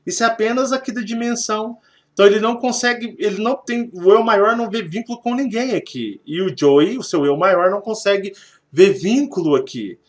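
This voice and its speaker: A man's voice: sits high, brisk. A Brazilian man with a Brazilian Portuguese accent, hosting a spiritual channel and explaining in a rambling way, repeating himself and using many fillers.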